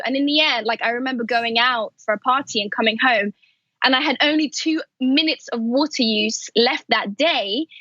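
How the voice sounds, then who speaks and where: Bright, high-voiced woman in an interview. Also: no room sound, muffled recording. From Afghanistan, Pakistan and Saudi Arabia. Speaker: podcast host and journalist, interview guest. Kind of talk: conversational interview. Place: remote call from a house in London.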